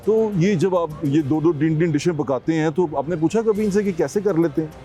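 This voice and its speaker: High-pitched Pakistani Punjabi man interviewing, music behind. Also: a little fast, mellow. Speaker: street interviewer for a TV news show. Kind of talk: vox pop interviewing, casual questions. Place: outdoors on a city street. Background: prominent music over outdoor urban sound.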